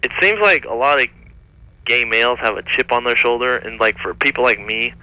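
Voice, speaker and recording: A man's voice: mid-range voice, fairly quick, sharp tone, clear, resonant tone. A man in his twenties from France calling in to a radio show on a phone line, hesitant and full of fillers. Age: 20s. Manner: hesitant, lots of fillers and restarts. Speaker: caller. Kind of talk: phone-in call.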